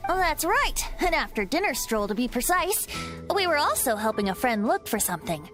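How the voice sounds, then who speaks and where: Playful boyish young bard, high-pitched. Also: female voice, very animated, moderate pace, a bit bright. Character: youthful boyish bard, playful, musing, sly. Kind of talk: anime video game voice acting. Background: game music.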